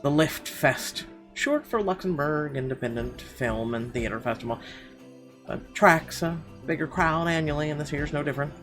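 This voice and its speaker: A man's voice: on the high side, animated, normal speed. A man reading visual novel narration aloud as a let's play gamer. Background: game music.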